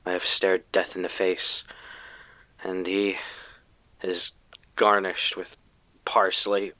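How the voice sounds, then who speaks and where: A man playing a shell-shocked researcher in an audio drama, speaking with halting, strained, deadpan gravity. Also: sits low, no room sound, exaggerated voice, rough recording. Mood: grim, pained. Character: shell-shocked male researcher, grimly dramatic survivor of food poisoning. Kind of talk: scripted audio drama testimonial.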